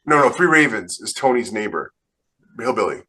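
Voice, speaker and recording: A man's voice: fairly low pitch, big pitch swings, normal speed, sharp tone. A man co-hosting a podcast, chatting casually in a matter-of-fact, opinionated way. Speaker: podcast co-host. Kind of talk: casual group podcast chat.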